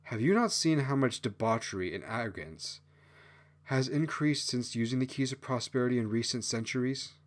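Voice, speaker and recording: A man voicing a stern, earnest male fox who speaks gravely in a fantasy audiobook. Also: mid-range voice, lively intonation, normal speed, voice pushed up, close to an everyday voice. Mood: grave, admonishing.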